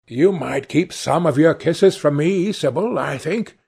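A male narrator plays a thick-set young lad from a novel. The voice is gravelly and husky, blunt and grumbling, with a stern but good-natured temper. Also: a little high, expressive, a little slow, darkish tone, pitched above the performer's own voice, full character voice. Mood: teasing grumble.

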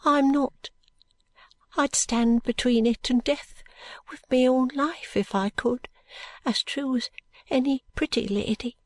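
A woman's voice: high-pitched, slow pace, dry recording, pitched above the performer's own voice. Woman voicing a weary poor young mother. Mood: sorrowful. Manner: soft, plaintive, rustic grammar, hushed.

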